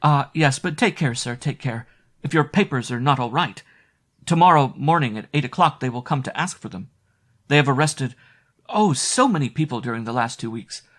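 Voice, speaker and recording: A man's voice: mid-range voice, animated, moderate pace, pitched above the performer's own voice. A man voicing a tender, anxious young inn maid in a storybook reading. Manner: soft, urgent, breathless warnings.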